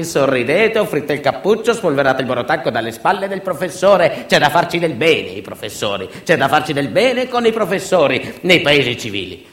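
Low-voiced stage storyteller, echoey, over music. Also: male voice, a bit bright. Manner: dramatic, incantatory repetition, colloquial storytelling asides. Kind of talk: theatrical monologue, narrative radio theatre. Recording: echoey room with music behind.

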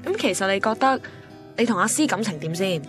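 An actress playing a concerned, easily flustered young woman friend in a Hong Kong Cantonese radio drama, colloquial and animated. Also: medium pitch, fairly quick, close to an everyday voice. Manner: animated, colloquial, mixes in English words. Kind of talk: Cantonese radio drama dialogue and inner monologue. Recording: music bed under the voice.

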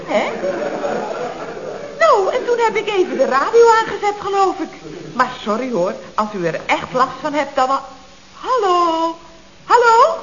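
Chatty dutch secretary, old radio comedy, high voice, muffled noisy recording. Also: female voice. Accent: Dutch from the Netherlands. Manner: chirpy, expressive, chatty with frequent tag questions. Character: chatty, mock-innocent female office secretary at a building firm. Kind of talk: 1970s radio comedy acting.

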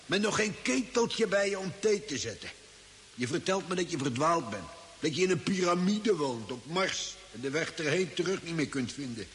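An actor playing a bossy, sarcastic outback camper in an old radio drama. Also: male voice, a little high, lively intonation, moderate pace, a bit bright. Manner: sarcastic, commanding. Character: gruff, sardonic male outback camper, bossy and dismissive. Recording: very noisy old radio recording.

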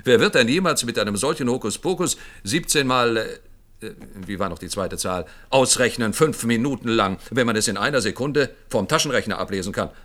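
An actor playing a jovial, dismissive army general in a German science-fiction radio drama, blustery, condescending and amused. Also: male voice, medium pitch, expressive, moderate pace, a bit bright, close to an everyday voice.

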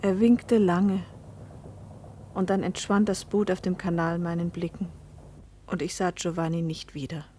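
A woman's voice: lowish voice, fairly even intonation, unhurried, pauses often, mellow, slight background noise, lightly acted. A woman playing a passionate, remorseful young wife and mother in a German radio play, intimate and confessional in manner. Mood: sorrowful, reflective. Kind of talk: German radio play acting and first-person narration.

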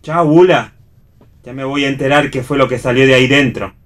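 Dry, mid-pitched wry man played by an actor. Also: brisk, fairly bright tone, lightly acted. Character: wry, troubled male family friend. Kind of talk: read radio theatre.

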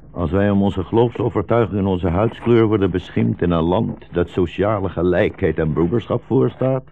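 Deep, gravelly old rabbi. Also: male voice, a little hiss or hum. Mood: gently wry. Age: older. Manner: solemn, slow biblical declaiming. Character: old blind rabbi, war refugee, solemn and weary. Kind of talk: 1960s radio drama acting. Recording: muffled 1960s radio broadcast recording.

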